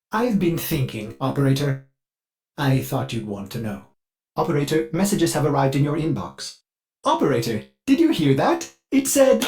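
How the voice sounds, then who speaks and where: An eager-to-please artificial mind that runs a spaceship in a video game. The delivery is very animated in pitch, servile and theatrical. It halts now and then amid elaborate courtesy. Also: male voice. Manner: overly polite, theatrical, servile, halting. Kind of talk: video game character dialogue.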